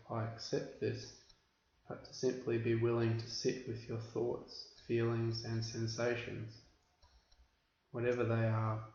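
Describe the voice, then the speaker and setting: A man leads a guided meditation in an extremely even, unvarying tone at a middling pitch. His instructions are measured, with deliberate pauses between phrases. The recording is roomy and somewhat muffled. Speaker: meditation teacher. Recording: roomy, dull recording with background hiss.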